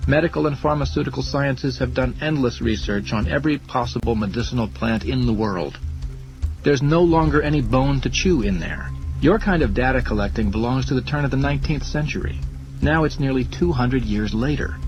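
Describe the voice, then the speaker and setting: A man's voice: mid-range voice, brisk, natural voice. A man voicing a smug, scornful younger professor who lectures pompously and emphatically. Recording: dull lo-fi audio under music.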